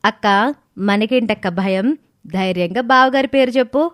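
A woman voicing a sharp-witted young sister in a cartoon family drama, assured and teasing. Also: a little high, normal speed, no echo, clean studio sound, close to an everyday voice. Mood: bold.

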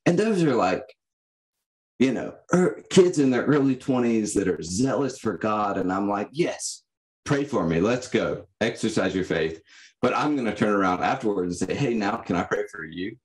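A young man giving reflective, personal answers as a podcast guest, in a halting, thoughtful manner. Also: on the low side, expressive, darkish tone, low-quality recording. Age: young adult. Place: indoor room, on a video call. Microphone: condenser microphone.

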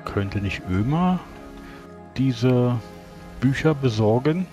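Man, average pitch, deliberate, formal argument, role-play dialogue, faint music. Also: expressive. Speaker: tabletop role-playing player. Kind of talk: in-character improvised game dialogue.

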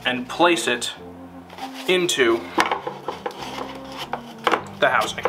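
A man narrating a scripted instructional film in a deliberate, formal, step-by-step manner. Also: medium pitch, recorded from a distance, over loud music.

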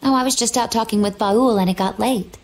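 A woman voicing a serene, coy young female warrior in a video game, with a quietly wistful manner. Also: fairly high pitch, close to an everyday voice. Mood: calm, wistful. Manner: calm, coy, unhurried. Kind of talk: video game cutscene acting.

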